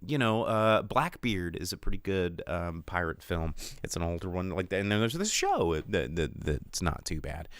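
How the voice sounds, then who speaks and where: A man's voice: lowish voice, gravelly, sharp tone, no echo. A man hosting a casual, rambling livestream of film chat, with an opinionated, jokey, conversational way of talking. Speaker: livestream host reviewing films.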